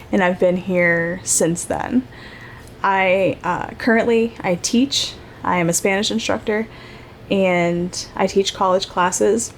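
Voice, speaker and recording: Chatty woman podcasting, faint hiss. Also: mid-range voice. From Ohio, United States. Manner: chatty, rambling, many fillers and restarts. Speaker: podcast host. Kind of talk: casual solo podcast monologue.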